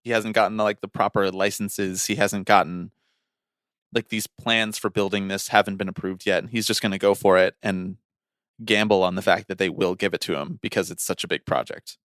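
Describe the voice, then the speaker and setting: A man co-hosting a podcast, talking casually about sports in an animated, wry, conversational way. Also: deep voice, fairly quick, dry recording. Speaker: podcast co-host.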